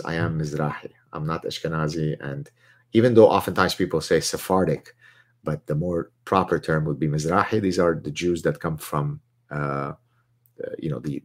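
Low-voiced man hosting live Q&A. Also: husky. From Lebanon. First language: Arabic. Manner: chatty, boastful, reads out chat questions. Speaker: host answering viewer questions. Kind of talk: live Q&A chat.

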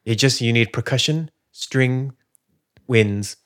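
A man's voice: mid-range voice, slow. A man co-hosting a podcast, casually questioning choices in a stage musical. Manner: laid-back, questioning. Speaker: podcast co-host. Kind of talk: casual chat reviewing a musical.

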